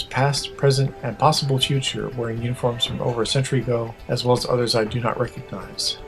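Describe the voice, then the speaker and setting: A man's voice: medium pitch, fairly bright tone, natural voice, poor audio. Man playing stoic, formal Vulcan captain. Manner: measured, formal, precise. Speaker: voice actor. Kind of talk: audio drama, formal log narration.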